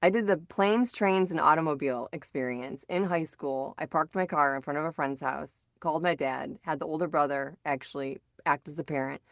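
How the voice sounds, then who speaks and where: A woman's voice: deep voice, moderate pace, few pauses, no echo, studio quality. A middle-aged woman hosting a casual podcast, talking in a chatty, informal way. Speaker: podcast host.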